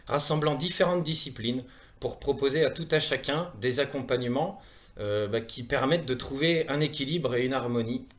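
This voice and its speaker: A man in his thirties with an accent from France gives a semi-prepared presentation in an earnest, hesitant way, often pausing and repeating words. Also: medium pitch. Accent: French from France. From France, Saumur in Maine-et-Loire. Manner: earnest, hesitant, with frequent pauses and word repetitions. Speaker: presenter of a wellness training programme.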